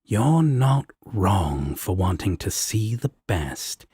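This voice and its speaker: A man playing a sardonic, protective and affectionate devil roommate in a romantic audio roleplay, with a deep husky voice and blunt, coaxing talk. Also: big pitch swings, takes it slowly, mellow, no echo, clean studio sound, heavily acted. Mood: gentle, firm.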